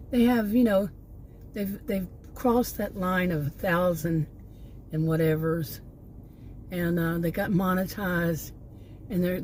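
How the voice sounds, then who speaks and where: A woman in her sixties, slightly hoarse from losing her voice, rambling through a monologue as host of her own channel and often stopping to find her thread again. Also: medium pitch, mellow, a little hiss or hum. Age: 60s.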